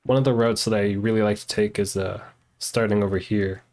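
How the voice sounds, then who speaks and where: A man's voice: on the low side, fairly even intonation, brisk, darkish tone, a little hiss or hum. A man streaming a video game, giving easygoing, casual commentary as he plays and talks to his viewers. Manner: easygoing, chatting to viewers and himself. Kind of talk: casual live gameplay commentary.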